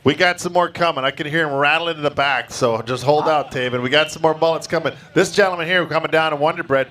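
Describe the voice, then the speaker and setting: A man's voice: on the high side, quick pace, pushed and bright, a little hiss or hum. A man announcing a live motorsport event, playful and teasing with big exaggerated reactions. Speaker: race announcer.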